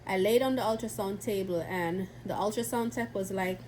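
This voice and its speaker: A woman's voice: medium pitch, moderate pace, darkish tone, slight background noise, rough recording. A young woman vlogger with a stuffy, congested voice, chatting in a rambling, casual way with many fillers and restarts. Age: young adult. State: stuffy from a cold. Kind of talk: casual storytelling to camera.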